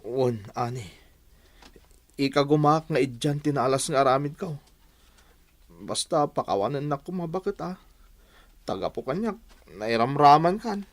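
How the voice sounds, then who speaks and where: An Ilocano husband and errant employee in a radio drama. He speaks in a subdued, halting, apologetic manner. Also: a little high, lively intonation. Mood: contrite.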